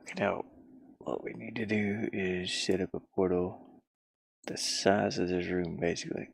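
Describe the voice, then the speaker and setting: Man, gravelly low voice, unhurried, muttered fragments, casual game developer commentary, dry close sound. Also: steady tone, no echo. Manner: muttering to himself in short fragments. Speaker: solo indie game developer. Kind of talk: casual think-aloud screen-recording commentary.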